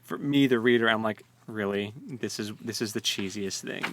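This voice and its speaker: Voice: mid-range voice, moderate pace, dry recording. Wry podcast co-host, dry close recording. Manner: tongue-in-cheek, repeats phrases, acts out lines jokingly. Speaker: co-host of a book read-along podcast. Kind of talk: casual chat, wry commentary on a novel.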